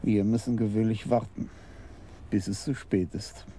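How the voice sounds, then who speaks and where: An actor playing a principled police commissioner in a German radio crime drama, questioning in a measured, terse way. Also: male voice, on the low side, steady tone, mellow, close to an everyday voice. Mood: quietly curious. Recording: clearly noisy 1980s radio drama recording.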